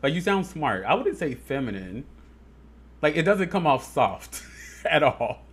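A man's voice: a little high, big pitch swings, a little slow, fairly bright tone, slightly rough. A man co-hosting a podcast, talking casually with plenty of fillers and frequent laughter. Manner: chatty, laughs a lot, many fillers. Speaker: podcast co-host. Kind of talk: casual chat.

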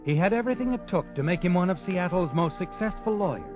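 A tinny vintage radio recording with music behind a sardonic, all-knowing male narrator. His delivery is dramatic and needling, with highly animated pitch, as he narrates a crime drama. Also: fast talker. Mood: knowing, ominous. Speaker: host and narrator. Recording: tinny narrow-band old radio recording, musical backing.

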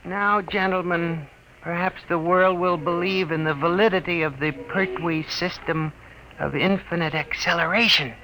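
An actor playing an eccentric, aggrieved genius inventor, speaking in formal, precise phrases in an old radio drama style. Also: male voice, on the high side, steady tone, moderate pace, loud music behind the voice, lightly acted, rough recording. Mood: pompous, lecturing. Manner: formal, precise, prim diction. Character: eccentric, aggrieved little genius inventor, polite but megalomaniac. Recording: old radio broadcast recording.

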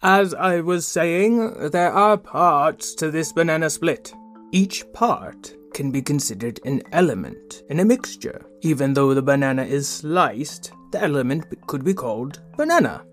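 A man's voice: on the high side, lively intonation, unhurried, fairly bright tone, faint music behind. A man playing a vain, fussy but kindly inventor in a children's audio drama, formal and explanatory in an old-fashioned way. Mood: patiently explaining.

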